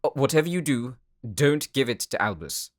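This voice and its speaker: A serious squad leader played by a man lays out tactics with earnest authority. He has a mid-pitched voice and the dutiful air of an audio drama soldier. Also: expressive, moderate pace, natural voice. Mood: businesslike. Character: serious, dutiful male team leader on a combat mission. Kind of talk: audio roleplay acting.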